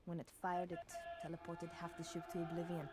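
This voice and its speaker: A woman's voice: lowish voice, monotone, quick pace, close to an everyday voice. An actress playing a clipped, defiant female military AI in matter-of-fact video game cutscene dialogue. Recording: faint game audio under loud music.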